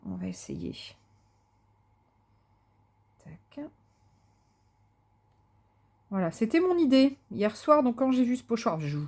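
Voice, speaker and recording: A woman of mid pitch chats casually as she works on a craft project. She thinks aloud with plenty of fillers and restarts and gushes about colours. Now and then she drops into soft muttering to herself. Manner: talks to herself softly while working. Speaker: craft vlogger.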